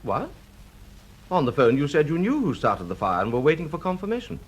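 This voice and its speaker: An actor with a British accent playing a polished, formal businessman with a husky voice in a radio drama. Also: fairly high pitch, wildly swooping pitch, brisk, heavily acted. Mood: indignant, defensive. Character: smooth male businessman client, guilty and indignant.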